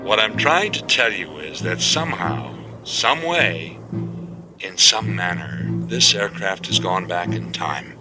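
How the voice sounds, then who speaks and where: An actor playing a steady, authoritative airline captain under strain in a radio drama, speaking deliberately. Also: male voice, deep voice, music in the background. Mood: grave, solemn.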